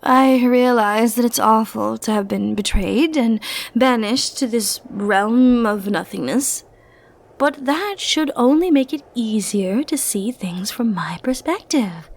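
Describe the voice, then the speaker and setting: A woman playing an ancient, immortal giant being in an ASMR roleplay, flirtatious, condescending and dangerous, teasing with breathy whispers. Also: on the high side. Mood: mock-sympathetic.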